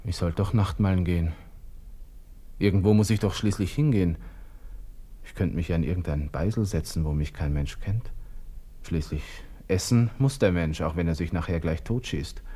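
Husky, low-voiced Austrian lieutenant in despair. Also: male voice, normal speed, mellow. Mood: brooding, despairing. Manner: agitated, brooding, breaking into whispers and outbursts. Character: proud, agitated Austrian army lieutenant in despair. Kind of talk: interior monologue in a radio play.

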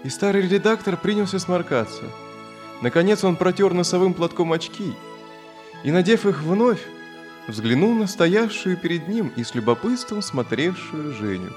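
A man narrating a children's audio story in an expressive, scripted storytelling style. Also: high voice, normal speed, faint music behind.

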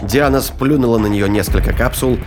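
A man's voice: mid-range voice, fairly quick. A man reading scripted science fiction narration as the narrator of an audio drama. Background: dramatic music, battle sound effects.

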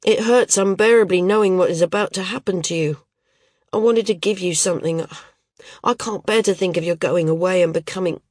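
A woman reading an audiobook, voicing a shy young male flyer who speaks softly and hesitantly. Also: lowish voice, fairly quick, no room sound, clean studio sound, lightly acted. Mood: tender hesitant. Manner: hesitant, soft-spoken.

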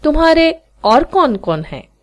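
A woman storyteller voicing a curious, kindly gentleman who asks questions and makes invitations in an inquisitive way. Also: high-pitched, very animated, a little slow, pitched above the performer's own voice.

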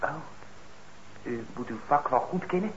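A man's voice: medium pitch, expressive, moderate pace, natural voice. An actor in a Dutch radio play playing a troubled young man on probation, blunt and hesitant, resentful yet eager. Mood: curious, eager. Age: young adult. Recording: muffled, noisy old radio recording.

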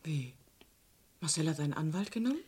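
A woman's voice: deep voice, lively intonation, fairly quick, a little mellow, breathy edge. An actress playing a sceptical, indignant woman under police suspicion in a German radio crime drama, pointed and questioning.

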